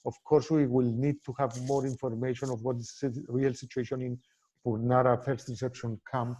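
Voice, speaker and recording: A man moderating an online press conference, halting and full of fillers, thanking and inviting speakers. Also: medium pitch, level tone. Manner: hesitant, many fillers, warm thanks. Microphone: video call.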